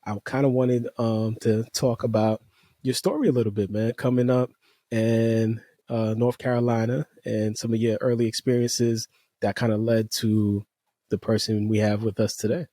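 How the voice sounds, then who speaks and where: A man hosting a podcast, introducing and interviewing a guest in a relaxed, appreciative way with casual fillers. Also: fairly low pitch, moderate pace, no echo, clean studio sound. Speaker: podcast host.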